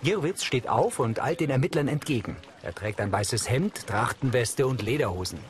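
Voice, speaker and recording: A man's voice: mid-range voice, lively intonation, normal speed, slight background noise. A man reading scripted audio description for a television drama in a matter-of-fact way. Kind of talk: scripted narration.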